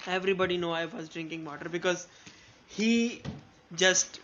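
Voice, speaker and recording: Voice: medium pitch, moderate pace, lo-fi sound, heavy background noise. A game streamer with an Indian accent, bantering casually on a live stream in a coarse, teasing way. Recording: loud game music behind the voice. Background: loud game music.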